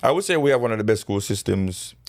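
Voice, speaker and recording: Man, Jamaican accent, podcast host, deep voice, dry recording, attentive and affirming. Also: expressive, fast, no echo. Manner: encouraging, with frequent short affirmations. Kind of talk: conversational interview.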